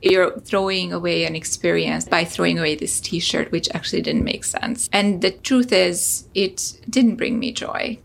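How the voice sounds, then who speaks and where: A woman's voice: lowish voice, measured pace, gravelly, few pauses. A woman from Hungary, a startup founder, telling an earnest personal story as a podcast guest.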